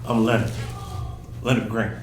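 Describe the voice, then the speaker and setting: A man's voice: fairly low pitch, steady tone, moderate pace, natural voice. A man playing a friendly, avuncular, chatty man in his forties in a scripted table read. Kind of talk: scripted dialogue read aloud. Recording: echoey room, clear background noise.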